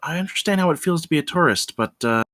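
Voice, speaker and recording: A woman acting a cool and detached female traveler in a film script read. She is brisk and composed, with a dry, close sound. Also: low-pitched, animated, fairly bright tone, no echo. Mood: teasing, composed. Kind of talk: screenplay table read.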